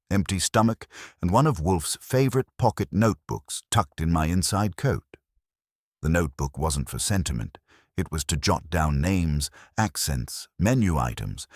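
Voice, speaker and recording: A man reads a first-person detective story in a wry, hard-boiled manner. His voice is extremely deep and husky, with lively pitch. The sound is dry and close. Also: no echo. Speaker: narrator.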